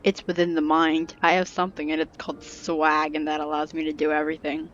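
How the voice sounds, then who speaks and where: A woman's voice: low-pitched, monotone, brisk, fairly bright tone, slight background noise. A woman giving casual gameplay commentary, reacting to the dialogue with joking sarcasm, on a slightly muffled mic. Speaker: game streamer.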